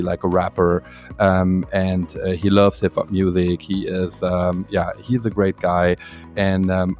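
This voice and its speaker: A man with a German accent, a native German speaker, hosting a casual podcast in halting, enthusiastic English. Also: low voice, darkish tone. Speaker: podcast host. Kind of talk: casual interview talk.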